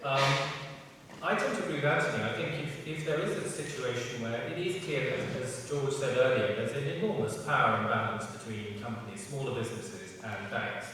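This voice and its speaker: Rambling panelist in an echoey hall. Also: male voice, mid-range voice, moderate pace. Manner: rambling, many restarts and fillers. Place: large public hall. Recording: echoey hall from far off, background noise.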